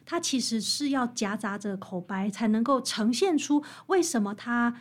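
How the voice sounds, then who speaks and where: Higher-voiced Taiwanese woman, animated storyteller. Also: moderate pace. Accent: Taiwanese Mandarin. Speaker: author guest. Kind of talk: conversational interview explaining music history.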